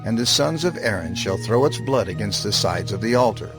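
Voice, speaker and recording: A man narrating scripture as a scripted audio drama, solemn and measured, with deliberate emphasis. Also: fairly low pitch, animated, poor audio. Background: music.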